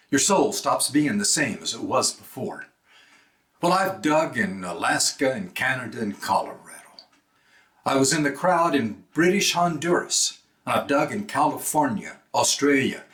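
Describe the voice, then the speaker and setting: An actor as a seasoned gold prospector in a very echoey room. His mid-pitched voice is knowing and world-weary as he tells a cautionary tale with emphasis in a stage monologue. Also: fairly bright tone, lightly acted. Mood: grave, worldly.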